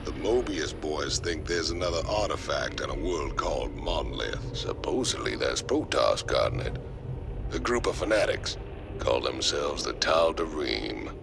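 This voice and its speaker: An actor playing a grizzled, blunt mercenary pilot with a gravelly drawl in video game dialogue. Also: male voice, rumbling low, expressive, unhurried, background noise, heavily acted. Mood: briefing.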